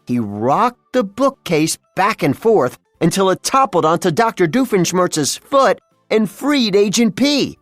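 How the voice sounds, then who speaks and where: A narrator reading a children's story aloud in a scripted, dramatic and deliberate way. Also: mid-range voice, big pitch swings, no room sound, soft background music.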